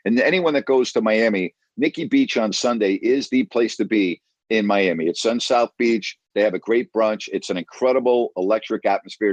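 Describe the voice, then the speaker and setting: A man's voice: fairly low pitch, dry recording. A man chatting casually as a guest, emphatic and repeating himself for stress. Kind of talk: casual chat.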